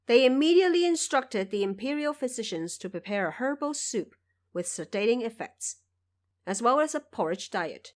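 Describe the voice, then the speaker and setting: Higher-voiced woman narrating an audio drama. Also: big pitch swings, moderate pace. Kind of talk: dramatic scripted storytelling.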